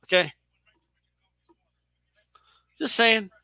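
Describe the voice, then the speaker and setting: A man's voice: sits high, expressive, drawn-out words, vocal fry, sharp tone, smooth, no echo. A young man hosting a live boxing stream, chatting casually and profanely with his viewers. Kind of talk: casual live commentary to chat.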